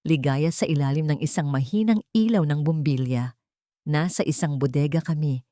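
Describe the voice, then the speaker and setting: A woman's voice: low-pitched, moderate pace, warm tone, dry recording, clean studio sound. A woman reading a scripted, dramatic first-person story as an audio narrator.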